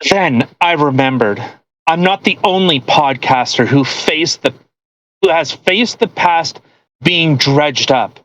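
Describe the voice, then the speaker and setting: A man with a bright, forceful voice pitched a little high. He reads aloud in a mocking, theatrical way as a podcast co-host, breaking into sarcastic and profane banter. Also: animated, slow pace, no echo, poor audio. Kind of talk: mocking dramatic reading with crude banter.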